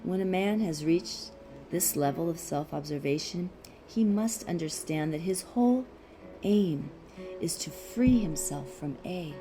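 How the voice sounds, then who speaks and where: Woman narrator, mellow dark tone, mid-range pitch, measured and deliberate audiobook reading, faint plucked guitar behind. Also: lively intonation. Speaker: audiobook reader. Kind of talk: scripted narration of a philosophical text.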